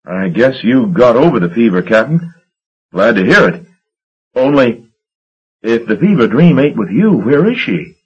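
A man's voice: mid-range voice, very animated, a little slow, long pauses, husky, voice pushed up, full character voice. A man voicing a flustered, gaunt young shipping agent who stammers and babbles. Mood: nervous babbling. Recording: dull lo-fi recording.

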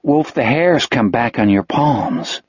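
A man's voice: mid-range voice, lively intonation, slow, husky, dry recording, dull audio. A man voicing a slim, wary, feverish boy in an audiobook story, speaking plainly and urgently. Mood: uneasy, grim.

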